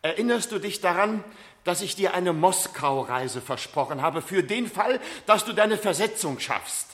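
Flustered, pleading father, high-pitched. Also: lively intonation, moderate pace, a bit bright. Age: middle-aged. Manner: hesitant, stammering, pleading. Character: flustered, pleading middle-aged father, anxious and evasive. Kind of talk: radio play acting, 1970s.

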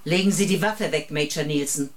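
An actress playing a composed, resolute rebel agent in a science-fiction radio drama, speaking in a measured, matter-of-fact way. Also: female voice, deep voice, lightly acted. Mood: steely, commanding.

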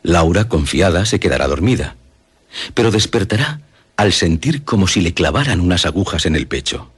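Male narrator, Castilian accent, deep with a raspy edge, formal and measured scripted storytelling. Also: lively intonation. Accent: Castilian Spanish. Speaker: radio drama narrator.

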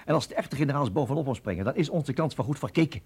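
A man's voice: mid-range voice, lively intonation, racing, a little mellow, breathy edge. A man acting in a radio drama as a pushy, frustrated official who argues in an urgent, persuasive way. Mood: urgent, insistent. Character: pushy, frustrated male colleague of a general.

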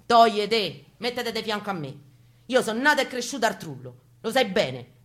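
A woman's voice: mid-range voice, very animated, normal speed, fairly bright tone. A woman voicing a streetwise, protective comrade with a Roman accent, blunt and urgent, in a spoken-word reading. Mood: insistent. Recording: live guitar under the voice.

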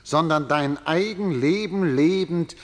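A man's voice: on the high side, slow. A man playing a stern chorus elder, pronouncing doom in emphatic, formal verse in a radio play. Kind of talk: declaimed verse, radio tragedy. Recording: long hall reverb.

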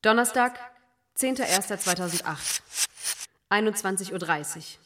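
An actress playing a sick, stoic amateur runner in an experimental radio play, reading diary entries in deliberate, repeated fragments. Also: female voice, medium pitch, lively intonation, heavy background noise, natural voice.

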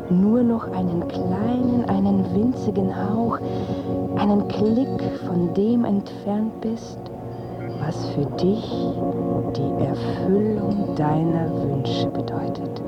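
An actress playing an elusive, seductive being who taunts a searcher in a slow, deliberate radio play monologue. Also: female voice, lowish voice. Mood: alluring. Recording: dull sound under loud music.

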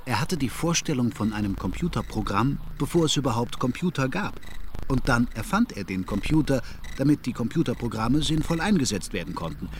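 An actor voicing a casual, explanatory academic as a voice-over translation in a radio production. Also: male voice, medium pitch, animated, a little fast, a little hiss or hum, lightly acted. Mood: brisk, explanatory. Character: brisk, casual male voice-over of a lecturing academic.

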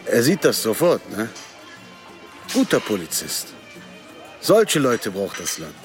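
Radio drama suspect: sarcastic, mock-innocent bar owner, fairly low voice. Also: male voice, huge pitch swings, slow pace, soft background music, full character voice. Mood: ironic. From Lebanon. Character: smooth-talking, sarcastic male bar owner, unruffled suspect.

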